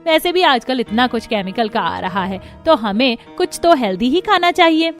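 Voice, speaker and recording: A woman's voice: a little high, animated, moderate pace, faint music behind, lightly acted. A woman playing a strict, thrifty mother-in-law who scolds her family in a cartoon. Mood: scolding. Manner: scolding, bossy. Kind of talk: cartoon voice acting.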